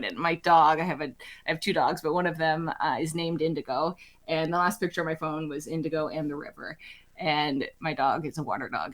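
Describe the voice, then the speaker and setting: A woman architect and firm head, talking in a relaxed interview, thoughtful and with plenty of restarts. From Alaska. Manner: thoughtful, frequent restarts and fillers, laughs lightly; speaks with conviction in a lowered register. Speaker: architect and firm CEO, guest. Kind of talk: casual interview conversation.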